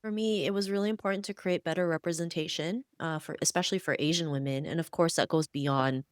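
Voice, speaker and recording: Woman, very low pitch, dry sound, earnest, motivational, interview guest. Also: fairly even intonation, fast talker, no room sound. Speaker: podcast guest. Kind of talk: conversational interview.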